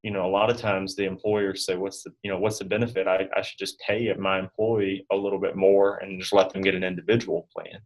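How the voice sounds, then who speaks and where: A man giving informal interview answers as an insurance expert, talking in a rambling, halting, conversational way. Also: low-pitched, flat delivery, rough recording. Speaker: guest expert on health insurance benefits.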